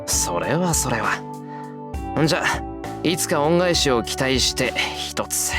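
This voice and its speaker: A man's voice: mid-range voice, expressive, normal speed, over music, close to an everyday voice. A man voicing a good-natured teenage schoolboy in a visual novel, speaking bluntly and informally. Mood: easygoing.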